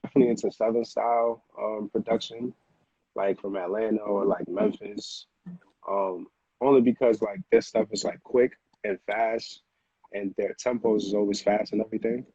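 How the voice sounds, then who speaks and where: A man speaks casually and slangily, often repeating himself. His voice is low and husky. The recording is dull and lo-fi. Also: flat delivery, normal speed, dark tone, no echo. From Honduras, moved to the Bronx at eight. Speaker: music producer, interview guest. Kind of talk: casual conversational interview.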